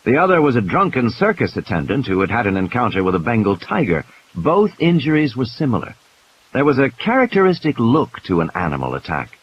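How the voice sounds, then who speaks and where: Expressive male audiobook narrator with animated pitch. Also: a little fast, lo-fi sound. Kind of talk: dramatic scripted narration. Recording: hissy, noisy background.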